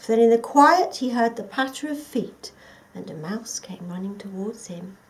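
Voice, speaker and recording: A woman reading a children's story aloud as a scripted narration, in an expressive, dramatic storytelling manner. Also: on the high side, normal speed. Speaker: storybook reader.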